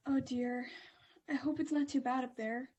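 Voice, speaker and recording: A woman voicing a gentle, sweet young goddess. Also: high voice, steady tone, normal speed, breathy edge.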